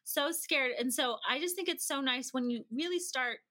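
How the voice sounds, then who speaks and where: Female podcast guest, high and bright, animated casual explainer, chatty and quick to laugh. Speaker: podcast guest, language-learning company founder. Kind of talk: casual interview, giving advice.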